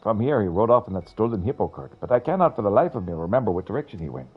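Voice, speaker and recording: A man's voice: on the low side, very animated, very fast, slightly rough, phone-quality sound, pitched above the performer's own voice. A man voicing a formal, fearful adventurer who tells things in florid, dramatic style. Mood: anguished. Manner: grandiloquent, dramatic.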